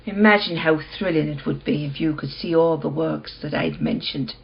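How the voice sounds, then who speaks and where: Radio drama casting: mysterious female art dealer played by a woman, lowish voice, cool, terse and controlled, slightly muffled sound. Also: moderate pace, creaky. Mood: confident, enticing. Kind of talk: radio play acting.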